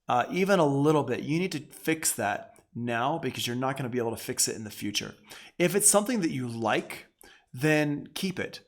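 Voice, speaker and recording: A man giving a casual live audio software tutorial as an instructor, explaining in a conversational way and repeating points for emphasis. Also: medium pitch. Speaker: music production instructor.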